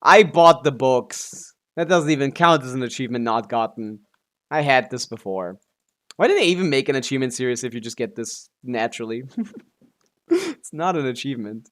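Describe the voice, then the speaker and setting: A man's voice: mid-range voice, lively intonation, normal speed, fairly bright tone. A man giving casual, offhand live commentary as a game streamer, muttering to himself and swearing freely.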